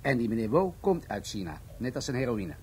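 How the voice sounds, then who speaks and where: An actor playing a shrewd, composed police commissioner in a radio play, explaining in a measured way. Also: male voice, medium pitch, sing-song, a little mellow, a little hiss or hum, natural voice. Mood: dry, assured.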